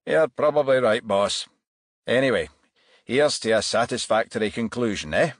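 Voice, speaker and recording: A man's voice: mid-range voice, expressive, normal speed, dry recording, clean studio sound. A man voicing a jovial, wry male detective sergeant chatting matily in an audiobook. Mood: wry, cheerful. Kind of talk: audiobook character voice.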